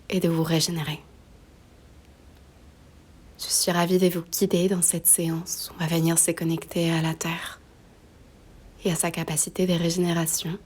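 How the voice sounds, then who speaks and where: A woman guiding a slow meditation in a soft, breathy near-whisper, gently giving unhurried instructions with long pauses. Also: fairly low pitch. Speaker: yoga nidra guide.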